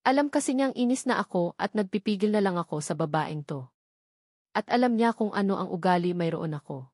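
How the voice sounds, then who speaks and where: A woman's voice: medium pitch, expressive, brisk, darkish tone, dry recording, studio quality. A woman reading a first-person novel as scripted, dramatic story narration.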